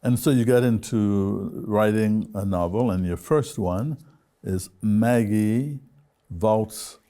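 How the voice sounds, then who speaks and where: A man hosting a television interview, putting questions in a measured, deliberate way, phrase by phrase. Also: lowish voice, mellow.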